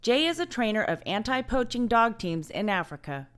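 A woman host reading scripted narration in a clear, expressive way. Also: on the high side, moderate pace.

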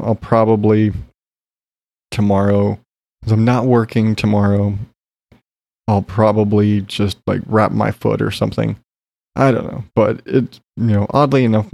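A man's voice: on the low side, vocal fry, mellow, dry recording. A man talking in a casual solo podcast, rambling with lots of fillers and casual swearing, still congested after being sick. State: recovering from illness, congested.

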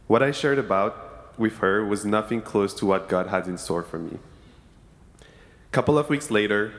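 A young man from Senegal speaks in a fairly low voice, giving an earnest, measured testimony. The sound carries the long reverb of a church sanctuary. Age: young adult. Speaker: student speaker in church service. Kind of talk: prepared testimony.

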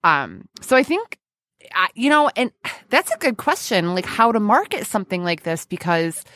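A woman's voice: medium pitch, big pitch swings, moderate pace, a bit bright, no echo, clean studio sound. A woman hosting a podcast in a chatty, informal and playful way. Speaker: podcast host. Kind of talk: casual chat.

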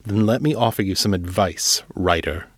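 A man voicing a weary, gruff old man who speaks gravely and bitterly, in an audiobook read by its author. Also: deep voice, moderate pace, dry recording, clean studio sound. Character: weary old male street elder, gruff.

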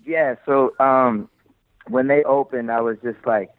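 A man's voice: medium pitch, steady tone, measured pace, no room sound. A man chatting casually as an interview guest, candid and slangy with free swearing, telling stories. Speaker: interview guest, creative director of a sneaker shop. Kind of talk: casual chat, storytelling. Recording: thin phone-like radio-quality line.

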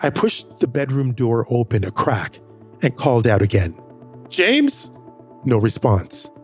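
A man narrating a first-person audio drama with expressive delivery. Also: measured pace, faint music behind. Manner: expressive, rising pitch in dialogue.

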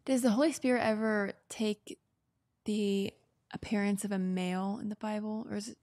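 A woman's voice: mid-range voice, steady tone, normal speed, darkish tone. A young American woman co-hosting in a casual, conversational way. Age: young adult. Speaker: co-host. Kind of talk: casual chat.

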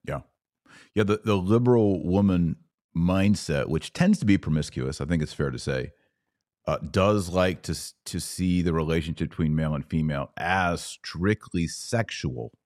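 Low-voiced male podcast host. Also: moderate pace, darkish tone, dry recording, studio quality. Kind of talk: casual podcast conversation.

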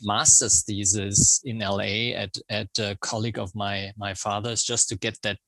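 A man's voice: fairly low pitch, flat delivery, moderate pace, pushed and bright, no echo. A man in his fifties with a German accent, a podcast guest talking through his career in a reflective, thinking-aloud way. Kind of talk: casual conversational interview.

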